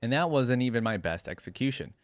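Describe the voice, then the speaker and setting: A charming clockwork boy magician as a man reads him, theatrical and courteous. He sounds like a storybook showman, lifted a little in pitch and recorded dry. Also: expressive, fairly quick, dry recording, natural voice. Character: showy, charming mechanical boy magician, secretly fearful.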